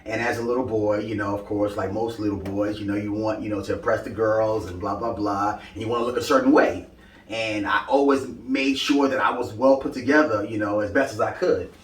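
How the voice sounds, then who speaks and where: A man's voice: on the low side, fairly even intonation, brisk. A young man from Brooklyn, answering interview questions about his style brand in an upbeat, rambling way with lots of "you know.". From Brooklyn, New York. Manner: upbeat, talks in loose run-ons with many "you know" fillers. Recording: echoey room, mic at a distance.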